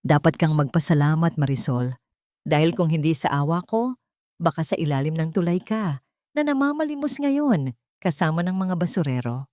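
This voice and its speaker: A woman's voice: sits low, expressive, normal speed, dark tone, no echo, studio quality. Woman voicing a scornful, cruel older mother-in-law. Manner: scornful, commanding. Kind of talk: dramatic audio storytelling.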